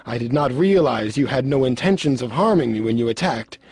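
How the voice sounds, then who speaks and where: An actor playing an earnest, honorable martial arts hero in a video game, with formal, stilted phrasing. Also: male voice, mid-range voice, lively intonation, a little fast, a little mellow, slightly rough, no echo. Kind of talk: video game cutscene acting.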